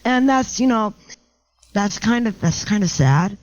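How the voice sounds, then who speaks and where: A middle-aged woman with a low voice, a house painter chatting as a podcast guest, joking about herself and often restarting her sentences. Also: expressive, a little slow, muffled recording. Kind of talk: casual podcast interview.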